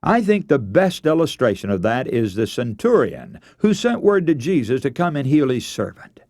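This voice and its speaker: A man's voice: mid-range voice, very animated, moderate pace, a little mellow, no room sound, studio quality. A man preaching a radio sermon, earnest and emphatic, telling stories and posing rhetorical questions.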